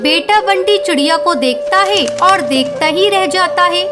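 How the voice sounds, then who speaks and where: A woman's voice: extremely high pitch, measured pace. A woman reading scripted narration for a children's story. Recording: music behind the voice.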